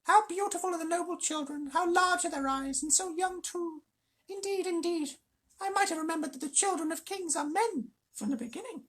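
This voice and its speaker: A reader voicing a sly, fawning jackal from a storybook in a very high, strained voice, mocking and wheedling. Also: a little fast, fairly bright tone. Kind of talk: storybook reading.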